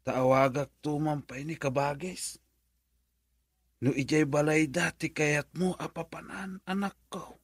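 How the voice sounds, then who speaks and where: A man's voice: a little high, steady tone, unhurried, breathy edge, dry recording, clean studio sound. A Filipino man playing a tender, careworn father of a grown daughter in a radio drama. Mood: gentle, hesitant.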